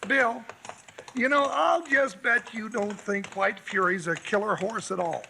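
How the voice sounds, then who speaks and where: A man's voice: high-pitched, unhurried, creaky, sharp tone, a little hiss or hum, full character voice. An actor playing a folksy, fretful male cowboy sidekick in a 1950s radio western, with a drawl. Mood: weary. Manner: homespun drawling talk.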